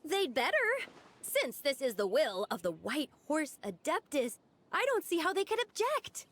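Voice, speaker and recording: A woman's voice: high voice, big pitch swings, measured pace. A woman playing an earnest, self-doubting young village girl in a video game, with a hesitant manner. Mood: amazed. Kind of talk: video game character acting.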